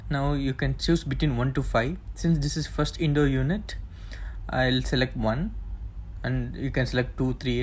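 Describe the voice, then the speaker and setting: A man presenting a tech tutorial, explaining the setup step by step in a plain, halting way with fillers. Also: medium pitch, a little hiss or hum. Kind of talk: casual step-by-step demonstration.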